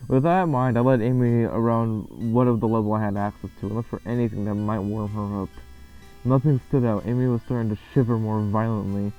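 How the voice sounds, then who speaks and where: A man's voice: fairly low pitch, steady tone, brisk. A man narrating a creepy horror story in a dramatic reading style. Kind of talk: dramatic reading of a creepypasta, with casual reaction after. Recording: muffled, lo-fi, loud music behind. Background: eerie game music.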